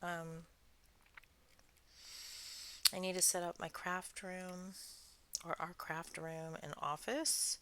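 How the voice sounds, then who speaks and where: A woman hosting a craft channel, chatting casually in a soothing, soft-spoken, rambling way. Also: deep voice, clean tone. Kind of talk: casual chat while crafting.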